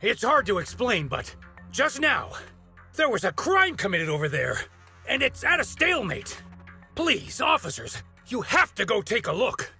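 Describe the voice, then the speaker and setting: Sharp, creaky, high-pitched flustered townsman. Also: very animated, unhurried, soft background music, heavily acted. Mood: panicked, pleading. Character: flustered, panicky male neighborhood resident pleading for help. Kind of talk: video game character acting.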